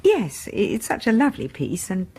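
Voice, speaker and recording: A timid English spinster of middle age in a radio chiller. Her voice is very breathy and lilts up and down freely. She is deferential and flustered, halting as she apologises, yet eager to please. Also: mid-range voice, huge pitch swings, warm tone, full character voice. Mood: shy, eager. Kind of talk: radio horror drama acting.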